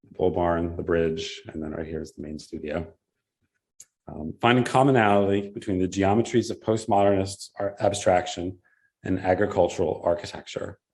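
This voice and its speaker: A man with a very low, mellow voice reads out a prepared paper on art and architecture. In the answers that follow he speaks more loosely, with fillers and self-corrections. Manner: measured, with frequent fillers and restarts in answers. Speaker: symposium presenter. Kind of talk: academic paper presentation and Q&A.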